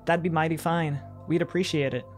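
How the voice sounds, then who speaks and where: A man's voice: a little high, fairly even intonation, a little fast, faint music behind, voice pushed up, natural voice. A man voicing a worried young father with a Southern twang, speaking in a strained, apologetic way.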